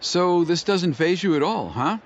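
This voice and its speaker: Smooth-talking scheming elder. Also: male voice, high voice, unhurried, a little hiss or hum. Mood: ingratiating. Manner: oily, ingratiating, lively intonation. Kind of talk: game voice acting. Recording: muffled game audio with music.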